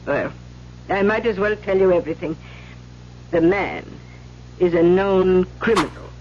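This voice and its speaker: An actress playing a stern, weary older Mother Superior, measured and authoritative, in an old-time radio drama. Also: fairly low pitch. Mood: measured, confiding. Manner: measured, authoritative, cuts others short. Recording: muffled, hissy old radio recording.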